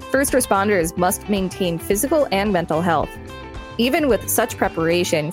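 An American woman co-hosting a podcast, reading encyclopedia text aloud with light banter. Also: lowish voice. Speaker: podcast co-host and reader.

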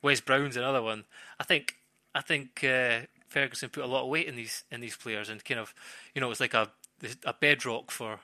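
Bright, forceful man talking football. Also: mid-range voice, fairly even intonation, dry recording. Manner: halting, thinking aloud with repeated phrases. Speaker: football pundit on a podcast. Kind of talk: casual football discussion.

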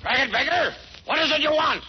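An actor playing a tall, mocking palace guard who calls out contemptuous challenges, in 1940s radio style. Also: male voice, extremely high pitch, animated, moderate pace, slight background noise, pitched above the performer's own voice. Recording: dull 1940s radio broadcast.

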